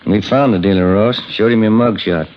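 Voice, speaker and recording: A muffled old radio recording with faint noise, featuring a plain-spoken police detective played by a male actor. His voice is low, and he speaks in brief, businesslike 1950s radio-drama lines. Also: fairly quick, close to an everyday voice. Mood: matter-of-fact.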